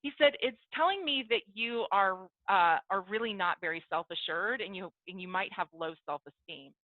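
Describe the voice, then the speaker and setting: A woman's voice: medium pitch, normal speed, dry recording. Chatty woman on a tinny call line. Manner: chatty and self-deprecating, acts out both sides of a conversation. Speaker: business networking speaker. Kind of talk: casual motivational talk. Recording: dry, tinny phone-like audio.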